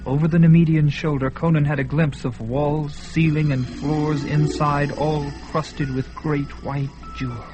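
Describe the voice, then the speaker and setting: A man narrating a vintage radio drama, building tension with hushed and rising passages. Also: on the high side, level tone, a little slow, hardly any pauses, music in the background.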